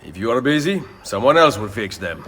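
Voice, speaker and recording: An actor playing a confident, charming Renaissance nobleman assassin in a video game, speaking in a suave, measured Italian accent. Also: mid-range voice, sing-song, a bit bright, breathy edge. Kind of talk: video game cutscene acting.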